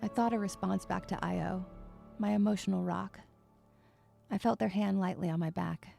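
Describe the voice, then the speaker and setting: A woman's voice: medium pitch, fairly even intonation, moderate pace, gravelly, dark tone, faint music behind. A woman playing an anxious, withdrawn young woman who narrates her own story in a dramatic, introspective and sometimes hesitant way. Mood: tense narration. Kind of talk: first-person dramatic narration with dialogue.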